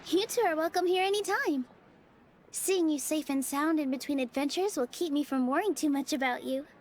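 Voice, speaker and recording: An actress voicing a gentle young theater dancer in a game, speaking softly and sincerely. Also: female voice, high voice, moderate pace, lightly acted. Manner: soft, sincere and kindly. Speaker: game character. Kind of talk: dubbed game dialogue.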